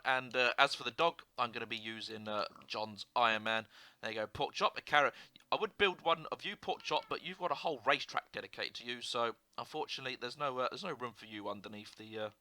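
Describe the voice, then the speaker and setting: A young man with a sore throat and a slightly hoarse voice, chatting casually as he narrates a building game, rambling with fillers and restarts. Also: on the high side, pushed and bright, no room sound. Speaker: gaming video host. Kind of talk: casual gameplay commentary.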